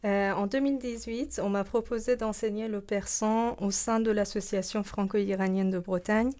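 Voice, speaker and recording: Invitée radio, femme, accent persan, débit hésitant ponctué de « euh ». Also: female voice, mid-range voice, steady tone, normal speed, a little mellow. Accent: Persian. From Iran. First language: Persian. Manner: hesitant, many fillers. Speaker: guest, Persian teacher. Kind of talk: radio interview. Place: radio studio.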